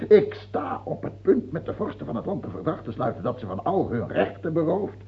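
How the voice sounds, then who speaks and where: A man's voice: on the high side, sing-song, a little fast, creaky, slightly rough, exaggerated voice. An actor playing a scheming, imperious aging French king in a radio play, theatrical, moving between murmured musing and scornful outbursts. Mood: scornful exasperation. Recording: dull, muffled old radio recording.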